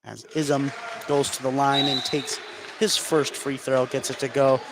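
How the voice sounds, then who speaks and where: A man's voice: mid-range voice, little pitch movement, unhurried. An American man doing live radio basketball play-by-play as the game's commentator. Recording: noisy gym ambience behind commentary.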